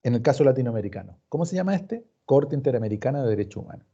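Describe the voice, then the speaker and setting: A man's voice: medium pitch, animated, very fast, warm tone, slightly rough. A man with a Chilean accent lecturing informally as a law professor, didactic and emphatic, often posing questions and repeating words. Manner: didactic, emphatic repetition, acts out questions and answers. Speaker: law professor teaching an online class. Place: recorded online meeting. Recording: dull, muffled online class recording.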